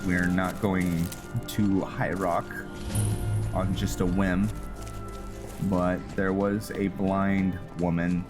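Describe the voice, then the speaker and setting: Man, deep voice, slow, halting commentary, gameplay music loud. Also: flat delivery. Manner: halting, many fillers and restarts. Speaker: roleplay gaming host. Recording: voice over loud game music.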